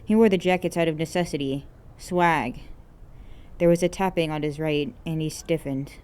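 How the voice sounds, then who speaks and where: A woman's voice: low voice, moderate pace, a little mellow, smooth. A woman reading scripted fiction aloud as an amateur narrator. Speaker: amateur podfic reader.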